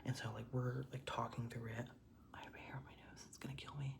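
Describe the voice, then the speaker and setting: A man's voice: medium pitch, flat delivery, quick pace, vocal fry. A young transgender man whispering a casual, rambling storytime while doing makeup, with lots of "like"s and casual swearing. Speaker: vlogger.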